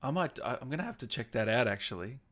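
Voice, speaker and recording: Man hosting a casual interview, mid-pitched. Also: animated, quick pace, a bit bright. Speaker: interviewer and stream host. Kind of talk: casual livestream interview, reading viewer comments.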